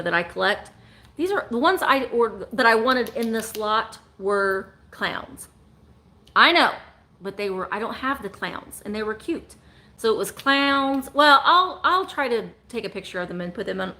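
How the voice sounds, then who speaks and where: A woman's voice: fairly high pitch, bright, forceful tone. A woman in her forties hosting a live vintage sale from home, chatting and joking as she shows items. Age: 40s. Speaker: online vintage seller.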